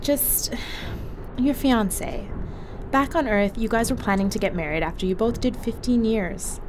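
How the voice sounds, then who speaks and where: A woman's voice: fairly high pitch, measured pace, background noise, lightly acted. A woman playing a chatty, teasing female crewmate who laughs easily. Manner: bubbly, teasing, laughs often. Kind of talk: audio drama acting.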